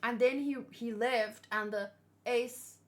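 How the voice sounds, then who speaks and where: A woman's voice: on the high side, steady tone, slow. A woman chatting casually and unscripted, thinking aloud and asking questions as she hosts a reaction video. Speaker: manga reaction host.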